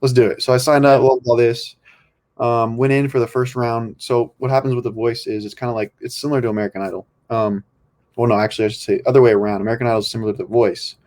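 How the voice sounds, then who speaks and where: A man's voice: mid-range voice, fairly even intonation, fast, a little mellow. A young man from California, a podcast guest, talking in a laid-back, rambling way with many ums. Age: young adult. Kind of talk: casual podcast chat.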